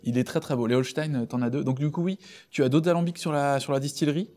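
A man's voice: a little high, steady tone, fast, darkish tone. A man hosting a casual interview, friendly and informal, often answering with short acknowledgements. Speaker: interviewer, host.

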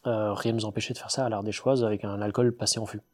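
A man's voice: on the low side, flat delivery, fast. A man, a distiller, talking in a casual interview, explaining with enthusiasm but with many fillers and restarts. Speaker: distiller, guest.

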